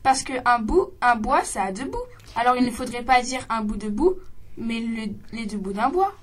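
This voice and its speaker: Woman as a pedantic high-voiced stage artist. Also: animated, moderate pace. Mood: explaining. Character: pedantic, exasperated stage artist lecturing the audience. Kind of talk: recited comic monologue for radio.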